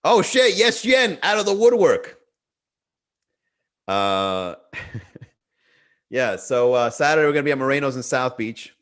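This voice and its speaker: A man's voice: mid-range voice, sing-song, measured pace, pauses often, fairly bright tone. Man, Miami accent, livestream comedy host, slangy, joking with chat, webcam mic. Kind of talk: casual livestream stand-up riff.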